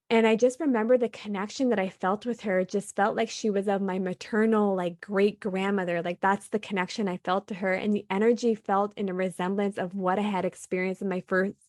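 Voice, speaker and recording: Woman from Canada, guest storyteller, earnest and reflective, mid pitch, dry close mic. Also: a little mellow, dry recording. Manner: earnest, reflective, with frequent "like" fillers. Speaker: podcast guest. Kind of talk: personal storytelling interview.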